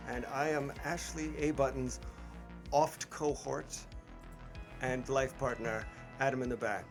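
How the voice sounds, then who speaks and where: A man's voice: medium pitch, steady tone, a little slow, music in the background. A man chatting casually and teasingly as co-host of a tabletop game stream. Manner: joking, teasing banter. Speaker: show co-host and player.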